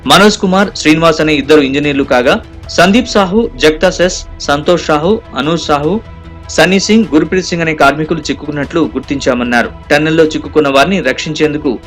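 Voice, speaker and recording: A man's voice: a little high, fast, fairly bright tone, soft background music. A man reading scripted news narration in a formal, urgent manner. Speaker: news reporter doing voice-over.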